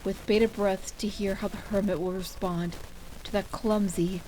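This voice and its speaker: A woman's voice: mid-range voice, fairly even intonation, a little slow, warm tone, slight background noise, poor audio. A woman hosting and narrating a fan fiction reading in a casual, storytelling style. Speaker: fan fiction reader and channel host.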